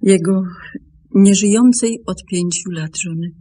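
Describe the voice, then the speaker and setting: An actress playing a grave, composed woman with a secret to confess in a radio play, speaking deliberately with pauses. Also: medium pitch, mellow, breathy edge. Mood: grave, reluctant. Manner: deliberate, measured, broken by pauses.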